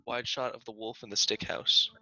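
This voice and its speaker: A man's voice: mid-range voice, level tone, moderate pace, pushed and bright, no room sound. A man reading screenplay shot directions aloud at a table read, over muffled video-call audio.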